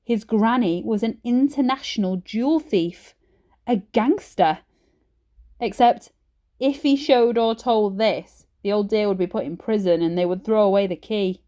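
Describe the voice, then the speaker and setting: A woman narrating a children's book like a teacher reading aloud, with expressive, emphatic phrasing. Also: mid-range voice, a little slow.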